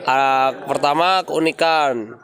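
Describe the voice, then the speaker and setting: A young man with a slightly higher-than-usual voice answers questions among friends. He tells his stories in a loose, joking way and laughs a lot. The whole exchange has the easy feel of a casual student interview. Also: slow, a bit bright. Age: young adult. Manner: jokey, laughing, loose storytelling. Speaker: student introducing himself.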